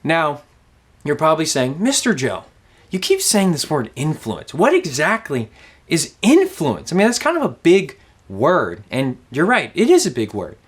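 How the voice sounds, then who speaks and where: Animated young man teaching kids. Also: a little high, moderate pace, slightly rough. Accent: Southern US. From Louisiana. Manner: animated, playful, asks questions. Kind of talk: kids object lesson.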